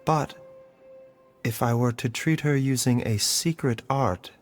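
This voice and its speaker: An actor playing a composed, gentle male doctor in a video game, speaking formally and deliberately with grave seriousness. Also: mid-range voice, soft background music, natural voice. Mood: somber.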